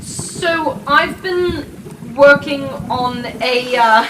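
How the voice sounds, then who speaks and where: A woman's voice: sits high, extremely slow, background noise. A woman voicing an awkward, earnest young male student who stammers hesitantly and explains matter-of-factly. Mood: nervous. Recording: music behind.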